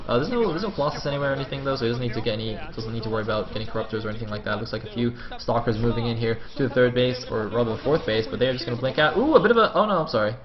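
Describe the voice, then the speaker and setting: A man's voice: mid-range voice, very fast, hardly any pauses. A man giving live play-by-play commentary on a strategy game, casually thinking aloud as he goes. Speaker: esports commentator. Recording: dull lo-fi audio over crowd noise.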